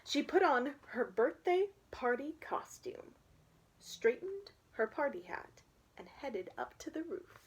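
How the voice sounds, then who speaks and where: A woman's voice: high voice, big pitch swings, slow pace, husky, rough recording. A young woman reading a children's picture book aloud, with chatty asides to her listeners. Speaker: storybook reader.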